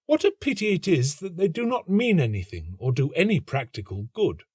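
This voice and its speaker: Man playing a pompous, high-pitched young student. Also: very animated, normal speed, breathy edge, no room sound, studio quality. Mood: smug dismissal. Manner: affected, pompous, emphatic. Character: young male student, aloof, pedantic, dismissive critic. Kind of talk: storybook character acting.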